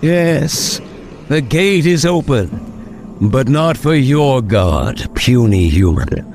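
An actor playing a grandiose, coldly menacing villain with cosmic ambitions in an old-time radio drama, speaking with mocking deliberation. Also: male voice, medium pitch, lively intonation, slow, darkish tone, slight background noise. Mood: cold mockery. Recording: dramatic music behind.

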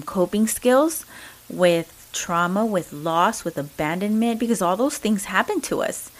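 A woman's voice: fairly low pitch, poor audio. A woman with a Mexican accent talking earnestly and casually, with many fillers and restarts. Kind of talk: casual reflective talk.

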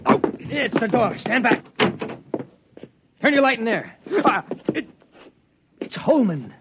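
An actor playing an excitable, trigger-happy spaceship crewman in a classic radio drama, speaking urgently. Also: very high voice, slow, full character voice. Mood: frantic, aggressive. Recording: noisy, crackly vintage radio recording.

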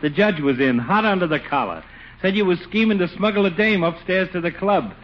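An actor playing a cocky, stubborn small-town barber, wisecracking in folksy slang in old radio comedy style. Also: male voice, high voice, fairly quick, lightly acted. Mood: chummy, teasing. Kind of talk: 1940s-50s radio comedy acting. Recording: dull, faintly noisy old radio recording.

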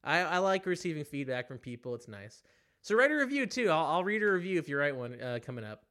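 A man's voice: a little high, sing-song, fast, a bit bright. A young man hosting a podcast, chatting casually in a rambling, self-deprecating way with lots of fillers. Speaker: podcast host.

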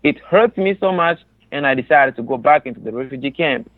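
A man's voice: medium pitch, animated, brisk, dry recording. Congolese man, thin phone-like sound. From Democratic Republic of the Congo, raised in a refugee camp in Tanzania. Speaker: interview guest, resettled refugee. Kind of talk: personal testimony in an interview.

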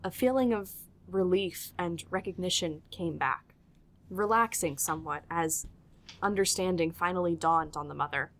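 Low-pitched woman narrating a story. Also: moderate pace, a bit bright. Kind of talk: scripted audio drama narration, storytelling in third person.

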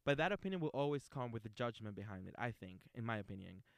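A man hosting a relaxed talk about acting technique, rambling a little with restarts as he thinks aloud. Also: mid-range voice, fast talker, vocal fry, no echo. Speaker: host.

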